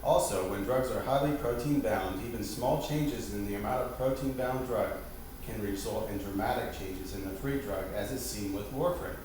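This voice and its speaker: A man with a slightly low voice delivers a scripted lesson in a formal, instructional way. He sounds far from the microphone in a very echoey space, with clear background noise. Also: moderate pace, a little mellow. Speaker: lesson presenter quizzing a partner. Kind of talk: scripted educational presentation, read out. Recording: very echoey, distant mic, noisy background.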